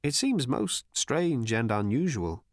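A man voicing a weary, querulous old man who speaks slowly and gravely. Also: mid-range voice, lively intonation, no room sound, studio quality. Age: older.

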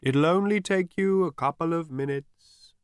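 Audiobook role: ethereal male spirit, played by a man, quiet, unhurried, politely wistful, very dry close sound. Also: smooth, dry recording, lightly acted. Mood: calm, helpful. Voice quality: deep, soft, ethereal. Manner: slow, courteous, wistful. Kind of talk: audiobook character voice.

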